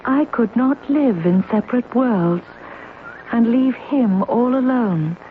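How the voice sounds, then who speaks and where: A woman's voice: a little high, animated, slow. An actress playing a composed, earnest married woman living under a borrowed identity in a radio drama. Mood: earnest, defensive. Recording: narrowband old radio, faint noise.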